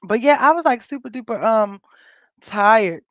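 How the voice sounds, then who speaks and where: The raspy American woman you'd find going live from her home office with a scratchy cold-day throat, rambling candidly to her regulars through a close, slightly muffled mic. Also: a little high, lively intonation, measured pace, no echo. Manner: rambling, unfiltered, folksy. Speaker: livestream host. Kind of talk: casual live chat with viewers.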